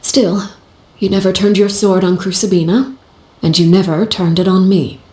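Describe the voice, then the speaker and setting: A woman voicing a composed, stern female leader who calls herself the children's father, speaking calmly and bluntly in a game cutscene. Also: mid-range voice, echoey room, natural voice, rough recording. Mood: solemn. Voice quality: calm, measured, blunt. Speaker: head of an orphanage house, the children's father. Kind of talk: video game cutscene voice acting.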